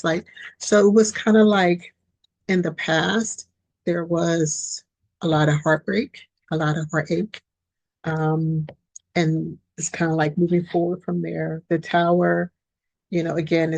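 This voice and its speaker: A woman with a raspy, husky voice hosts her own podcast and rambles casually through a tarot reading, full of fillers and restarts. She speaks on a built-in mic with no headset, and the sound is dry and close, with no room echo. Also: low-pitched, a little mellow. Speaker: podcast host and tarot reader.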